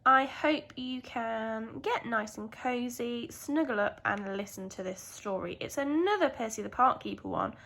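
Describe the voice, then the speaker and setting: A woman's voice: on the high side, measured pace, few pauses, fairly bright tone. A woman with a British accent, a primary school teacher telling a story expressively to young children.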